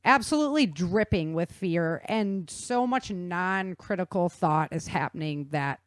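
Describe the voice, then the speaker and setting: A woman's voice: fairly low pitch, animated, no echo. A woman in her forties or fifties hosting a podcast interview, engaged and agreeing in casual talk. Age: 40s or 50s. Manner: engaged, agreeing, quick affirmations. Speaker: podcast host.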